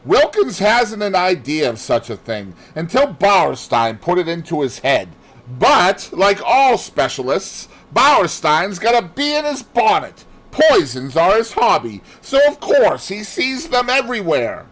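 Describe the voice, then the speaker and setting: A man voicing a scornful, indignant gentleman in a mystery audiobook, vehement and dismissive. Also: high-pitched, very animated, a little slow, pitched above the performer's own voice, full character voice. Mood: angry, scornful.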